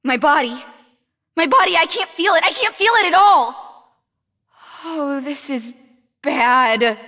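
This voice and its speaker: An actress playing an anguished, desperate young woman in a video game, frantic and halting in her delivery. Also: high-pitched, lively intonation, pushed and bright, full character voice. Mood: agonized. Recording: long reverberant hall echo.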